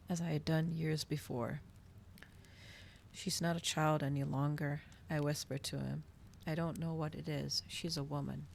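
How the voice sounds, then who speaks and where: A woman reading a novel aloud in a soft, cozy ASMR style, at times slipping toward a whisper. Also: low voice, vocal fry, slight background noise.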